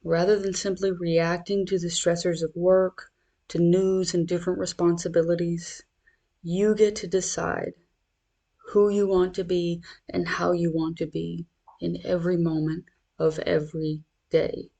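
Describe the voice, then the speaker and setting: Slow, gravelly meditation guide with even delivery. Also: medium pitch. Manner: measured, reassuring, instructional.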